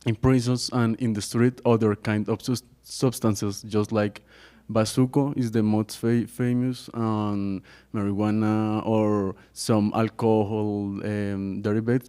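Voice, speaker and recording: A man's voice: on the low side, little pitch movement, unhurried, hardly any pauses, mellow, clear, resonant tone. A man from Colombia, an academic asking a question from the audience, searching for words with many fillers. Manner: searching, filler-laden, careful. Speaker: audience member, academic researcher. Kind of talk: question from the floor. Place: conference room.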